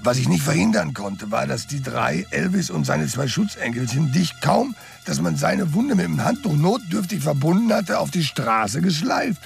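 A very gravelly, sardonic tough sidekick. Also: male voice, a little high, sing-song, normal speed, hardly any pauses, faint music behind, heavily acted. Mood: grim, urging. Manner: colloquial, mocking. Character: sardonic, street-tough male sidekick, drily amused. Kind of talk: German radio crime drama acting.

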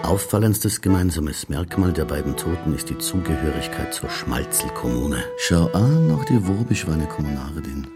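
Deep, sardonic, weary police inspector. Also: male voice, big pitch swings, normal speed, few pauses, full character voice. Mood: brooding, muttering. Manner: sardonic, muttering, theatrical. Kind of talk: radio drama acting. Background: instrumental music.

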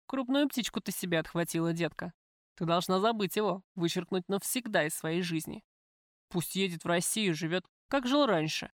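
A woman voicing a cool, cynical male gangster in an audiobook, with a flat, slangy, offhand manner. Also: on the low side, normal speed, no room sound, studio quality, lightly acted. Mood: cynical, condescending.

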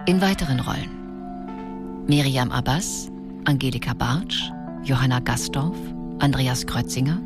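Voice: mid-range voice, unhurried, long pauses. An announcer reading scripted radio drama credits. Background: loud plucked guitar music.

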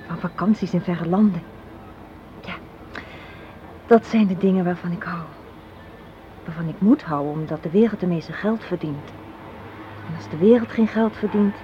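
An actress playing a sharp-tongued, unsentimental young woman in a radio play, sardonic and matter-of-fact as she argues. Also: mid-range voice, close to an everyday voice. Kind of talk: Dutch radio drama acting. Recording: muffled, noisy old radio play recording.

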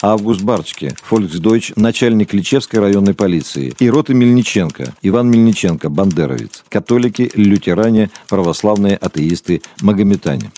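Low, mellow male documentary narrator. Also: normal speed, few pauses. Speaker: documentary narrator of a radio play.

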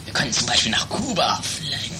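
Woman doing a very low, whispery male tramp. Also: very animated, brisk, music in the background. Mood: jittery, scheming. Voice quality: hoarse, whispery. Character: shady, jittery male tramp and jailbreaker. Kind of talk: improvised amateur audio drama. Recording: noisy home-made recording.

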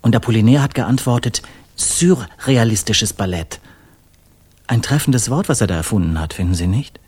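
An actor playing an indignant, dramatic avant-garde poet in a German radio drama, telling things in an emphatic, flowery way. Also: male voice, lowish voice, expressive, normal speed, darkish tone, husky. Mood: relishing, dramatic.

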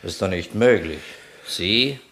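An actor playing a shrewd, sceptical investigator in a radio crime drama, dry and probing in manner. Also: male voice, low-pitched, animated, a little slow, fairly bright tone, exaggerated voice.

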